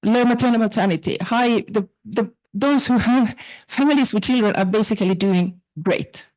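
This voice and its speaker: A woman's voice: fairly high pitch, moderate pace, a little mellow, poor audio. A woman from Finland, making an earnest, fluent comment from the audience at a symposium. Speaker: audience member asking a question. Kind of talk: spontaneous comment from the floor.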